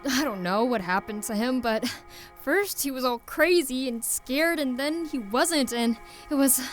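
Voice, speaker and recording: A woman's voice: sits high, expressive, faint music behind. A woman playing a frightened maze wanderer. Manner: wary and frightened, quick exclamations. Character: young woman lost in a haunted corn maze. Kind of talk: radio play acting. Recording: clear background noise and ambience.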